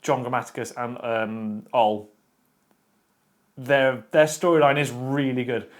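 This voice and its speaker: A man's voice: mid-range voice, fairly even intonation. Opinionated man chatting books. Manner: opinionated, talks in quick bursts with restarts. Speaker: podcast co-host. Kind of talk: casual chat about books.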